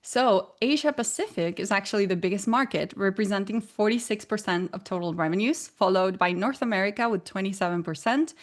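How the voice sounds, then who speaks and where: A woman in her forties with an Argentine Spanish accent gives a presentation. She explains things in a clear, measured way, and her voice sits at an ordinary middle pitch. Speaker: guest presenter. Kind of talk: presenting a talk.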